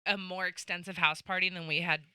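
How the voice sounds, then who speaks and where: A young woman on a podcast telling a rambling party story in a lively, casual way, with lots of "like" and swearing. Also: lowish voice, brisk, clean tone, no room sound, clean studio sound. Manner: animated, swearing, heavy use of "like". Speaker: podcast guest.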